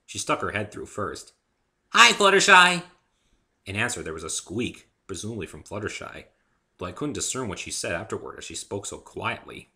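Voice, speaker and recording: Lower-voiced man narrating, very animated. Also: brisk. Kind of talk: first-person dramatic story reading.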